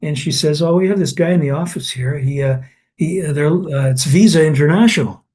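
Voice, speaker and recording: A man's voice: on the high side, brisk, warm tone. An older man from Canada in an oral history interview, telling anecdotes with many restarts and fillers.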